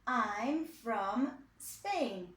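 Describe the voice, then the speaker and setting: Woman in her 20s from Poland, kids' English teacher, patient and repetitive, high lively pitch. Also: drawn-out words, reverberant. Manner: patient, repeats phrases for pupils to copy. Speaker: English teacher for young children. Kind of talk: slow, clearly spoken video lesson for kids.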